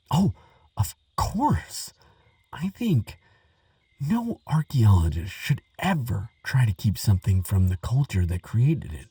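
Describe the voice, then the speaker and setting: A man playing an earnest, excitable archaeologist who talks gently to a friend, stammering and musing with wonder, in a relaxing audio roleplay. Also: medium pitch, breathy edge, no room sound, distant mic, exaggerated voice. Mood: gentle, sincere. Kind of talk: relaxing scripted audio roleplay, spoken to the listener.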